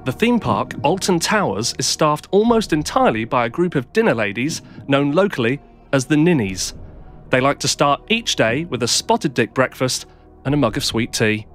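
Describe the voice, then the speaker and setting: A man hosting a comedy radio show, delivering absurd bits in a mock-serious, deadpan way. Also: medium pitch, moderate pace, soft background music. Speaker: radio host.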